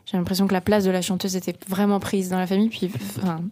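A woman's voice: medium pitch, little pitch movement, fast talker, dark tone, slight background noise. A young woman from the Paris region, a singer-songwriter answering interview questions, talking hesitantly with many fillers and restarts. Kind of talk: radio interview.

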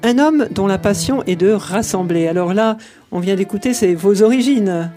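A man's voice: sits high, normal speed, dark tone. A man hosting a faith radio show, interviewing a guest with short affirming interjections. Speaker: radio host.

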